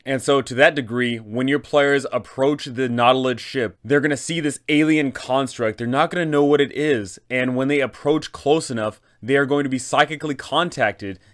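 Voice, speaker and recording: Clear-voiced man, emphatic game guide narrator. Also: medium pitch, moderate pace, few pauses. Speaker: host, game master advising other game masters. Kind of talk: scripted explainer narration for tabletop game.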